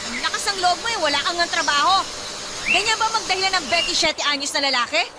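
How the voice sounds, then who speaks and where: A woman playing a stern, bossy older mother with a sharp-tongued, commanding manner in a radio drama. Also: very high-pitched, fast, heavy background noise. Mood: scolding, exasperated. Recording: loud music bed behind the voice. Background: loud music.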